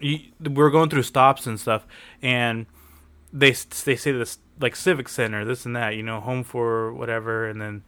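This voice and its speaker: A relaxed young-sounding guy tells a travel story in easygoing slang, dropping "like" and curse words as he goes. His voice sits at a middle pitch and sounds close and dry, with no room echo. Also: normal speed, clean tone. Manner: laid-back slangy talk with frequent likes and swearing. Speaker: podcast producer. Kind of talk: casual podcast chat.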